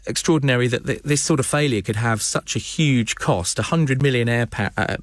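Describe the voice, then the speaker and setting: A man's voice: medium pitch, no echo. A British man presenting radio news and interviewing live, with hesitant, wordy questioning.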